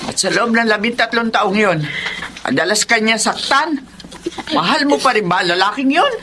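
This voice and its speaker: A woman's voice: fairly high pitch, lively intonation, normal speed, background noise, natural voice. Radio drama role: older, protective Filipino grandmother played by a woman, firm and scolding, widely swinging intonation. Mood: firm, concerned.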